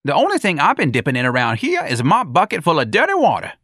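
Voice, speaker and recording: A man's voice: a little high, huge pitch swings, fast talker, a bit bright, no echo, studio quality, pitched above the performer's own voice, exaggerated voice. A man voicing a streetwise, defiant and fed-up male bar janitor for an audiobook, with slangy speech and whooping exclamations. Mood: indignant. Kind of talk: audiobook character voice.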